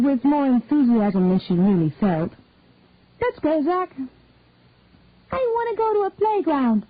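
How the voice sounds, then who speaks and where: A woman reading a short detective story aloud in a measured, deliberate narration. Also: mid-range voice, breathy edge, no room sound. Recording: tinny phone-like dry sound.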